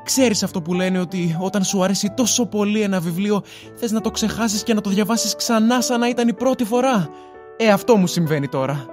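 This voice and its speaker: A man's voice: sits high, a little mellow, soft background music, close to an everyday voice. A man acting in an audio drama as an affectionate, eager husband-like figure who speaks tenderly and coaxingly. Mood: tender, proud.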